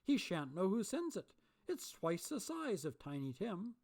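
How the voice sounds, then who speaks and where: A reader voicing a remorseful old miser who turns giddy, pleading and exclaiming at a lifted pitch. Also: very animated, measured pace, vocal fry, darkish tone, exaggerated voice. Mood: jolly. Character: old miser, repentant and overjoyed.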